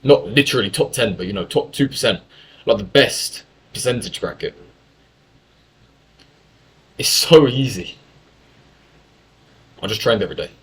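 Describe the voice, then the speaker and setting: A man's voice: lowish voice, moderate pace, long pauses, fairly bright tone. A young man from England telling a story in a casual monologue, talkative and full of swearing and self-corrections. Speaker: YouTuber.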